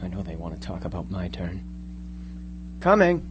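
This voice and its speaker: Worried young guy acted by a man, lowish earnest voice, radio play, hummy noisy recording. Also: very animated, normal speed, lo-fi sound. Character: anxious young son yearning to be himself. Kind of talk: live amateur radio drama acting.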